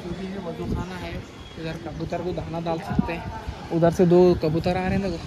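A man's voice: fairly high pitch, flat delivery, brisk. A man vlogging casually on a trip, chatting and pointing things out to the camera outdoors on a phone. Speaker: travel vlogger. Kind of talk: casual vlog narration. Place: outdoors, on the road and at a mosque courtyard. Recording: loud outdoor noise.

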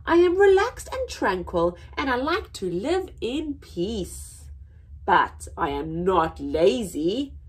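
A young woman voicing a placid, unbothered sloth in a children's storybook, savouring each word deliberately. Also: medium pitch, big pitch swings, slightly rough, full character voice. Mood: earnest, measured. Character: slow, placid, unbothered sloth explaining itself. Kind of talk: children's storybook read-aloud.

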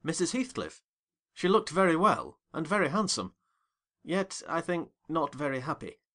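A man voicing a curious, polite gentleman tenant in a storybook reading. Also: a little high, very animated, moderate pace, voice pushed up, full character voice. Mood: inquisitive. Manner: polite, inquisitive.

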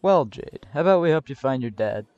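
A man's voice: medium pitch, lively intonation, fairly quick, a little mellow, no echo, natural voice. A man voicing a friendly, easygoing young guy in a cartoon dub, recorded on a slightly dull microphone. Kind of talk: animated web comic dub acting.

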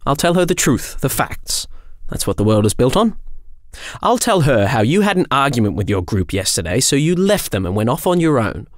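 A man acting a flippant, animated young angel. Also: mid-range voice, moderate pace, no echo, clean studio sound, natural voice. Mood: blunt. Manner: glib, sardonic, blunt. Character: flippant, cold, youthful imperfect male angel. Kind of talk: audio drama acting.

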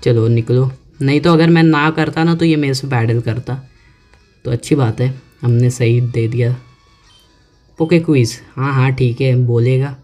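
A man chats through his game, thinking out loud and reading prompts off the screen. His delivery is slow and relaxed at a middle pitch. Faint game music plays beneath him. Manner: chatty, talks to himself, reads on-screen text aloud slowly. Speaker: gaming YouTuber. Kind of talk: casual let's-play commentary.

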